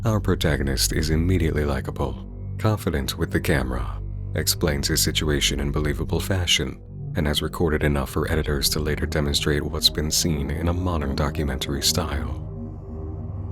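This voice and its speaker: A man delivering scripted video-essay narration in a measured, formal, articulate way. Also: extremely low pitch. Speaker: host of video essay channel on horror series. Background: music under the voice.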